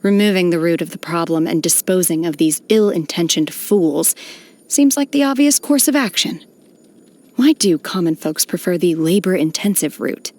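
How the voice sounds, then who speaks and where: An actress playing a solemn, aloof young woman trained by immortals in a video game, speaking in a formal, measured, restrained way with a touch of melancholy. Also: fairly low pitch, dark tone, lightly acted. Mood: cool, perplexed. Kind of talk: scripted video game character voice lines.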